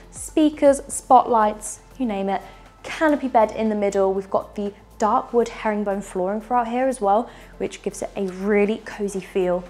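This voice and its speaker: Casting: young woman, bright enthusiastic property-tour host, lapel mic, music under. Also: mid-range voice. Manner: enthusiastic, walking and gesturing while talking. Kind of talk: upbeat house tour narration. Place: large furnished house indoors.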